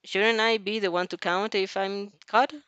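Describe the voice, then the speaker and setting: A woman voicing a polite, guarded, quietly stubborn young girl in an audio fan story, speaking in a measured way. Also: medium pitch, fairly even intonation, clean tone, dry recording, muffled recording, natural voice. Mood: polite, questioning.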